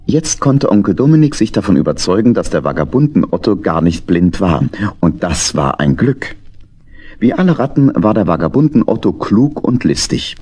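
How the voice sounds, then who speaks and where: A man's voice: on the low side, big pitch swings, normal speed, a little mellow, husky. A man narrating a children's audio drama in scripted storybook style.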